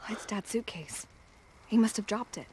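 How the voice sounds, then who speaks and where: A woman playing a wary, shaken young survivor in a video game, in a hushed, breathy delivery. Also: mid-range voice, normal speed, noisy background, close to an everyday voice. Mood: uneasy. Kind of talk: video game cutscene acting.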